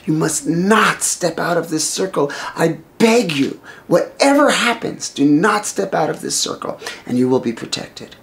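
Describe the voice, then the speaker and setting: Dutiful warrior brother voiced by a storyteller, earnest and solemn, creaky voice, spoken myth. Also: medium pitch, very animated, moderate pace. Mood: reluctant, solemn.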